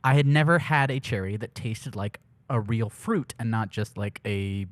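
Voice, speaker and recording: A man's voice: on the low side, normal speed, no room sound. A man co-hosting a podcast in easygoing, casual chat. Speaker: podcast co-host.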